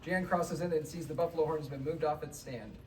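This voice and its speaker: A woman's voice: deep voice, fairly even intonation, quick pace, a little hiss or hum. A woman reading scripted stage directions at a live comedy table read. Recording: echoey distant mic, loud audience.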